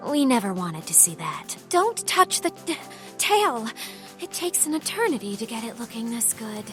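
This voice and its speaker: A woman voicing a graceful, playful moon fox spirit guardian in a video game, with a serene, lofty and measured delivery. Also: a little high, very animated, a bit bright. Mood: playfully indignant. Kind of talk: video game character voice lines. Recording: music behind the voice.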